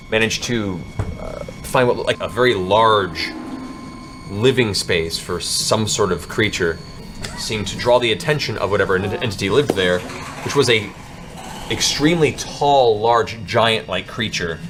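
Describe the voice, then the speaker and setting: A man with a fairly low voice serves as game master for a tabletop fantasy game. He describes scenes in a dramatic storyteller's manner. There is clear background noise around his voice.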